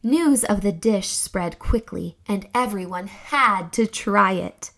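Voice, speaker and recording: A young woman reading a children's story aloud in a theatrical, expressive storytelling manner. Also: medium pitch, slow, creaky. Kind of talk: expressive scripted read-aloud narration for children.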